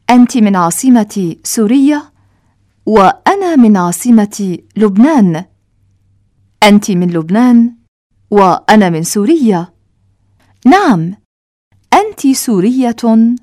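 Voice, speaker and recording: A woman reading a scripted language-lesson dialogue, enunciating each short line slowly and carefully for learners. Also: mid-range voice, mellow, no room sound, clean studio sound.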